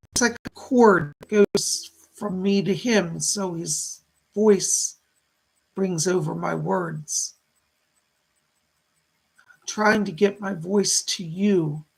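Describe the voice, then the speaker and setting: A weak, remorseful dead man's spirit played by a male medium talks in a heavily creaking, breathy voice. He is halting, earnest and tender, straining to come through in a séance. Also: high-pitched, heavily acted, rough recording. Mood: earnest, wondering.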